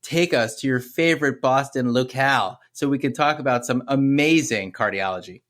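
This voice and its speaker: A man's voice: mid-range voice, normal speed, a bit bright. Effusive male podcast co-host. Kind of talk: friendly podcast hosting.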